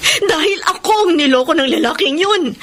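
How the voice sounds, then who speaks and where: Possessive, bitter Filipino radio-drama mother: high voice, swinging intonation, demanding, noisy recording. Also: animated, brisk. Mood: indignant. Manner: demanding, emotional, sharp-tongued delivery. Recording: clear background noise.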